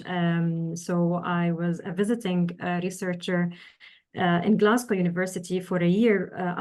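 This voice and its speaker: Earnest woman, measured and pausing. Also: fairly low pitch, a little mellow. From Syria, raised in the UAE. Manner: measured and earnest, with many pauses as she explains. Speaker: guest, engineering professor. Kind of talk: podcast interview answers.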